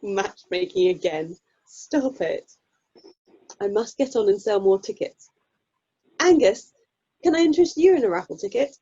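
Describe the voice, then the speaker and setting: Casting: actress as sensible, kindly young mum for radio comedy, soft dark tone, chatty, slightly muffled recording. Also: female voice, medium pitch, expressive, a little slow, long pauses, husky. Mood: teasing, cheerful. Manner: chatty, gently teasing. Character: friendly, sensible young mother selling raffle tickets. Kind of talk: amateur radio play acting. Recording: dull, lo-fi video call sound.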